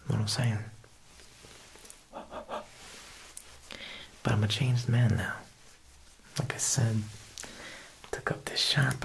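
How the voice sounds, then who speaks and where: A young man playing an Italian mob boss tailor in a whispered ASMR roleplay, with an Italian-American accent and a smooth, insinuating manner. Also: lowish voice, background noise. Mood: reflective. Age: young adult. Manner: slow, hushed, insinuating, with pauses.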